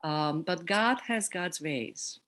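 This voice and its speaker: Low-voiced Hungarian-accented woman, pausing often. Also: a bit bright. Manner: hesitant, pausing between short phrases. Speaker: interview guest, seminary program director. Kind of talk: reflective interview talk.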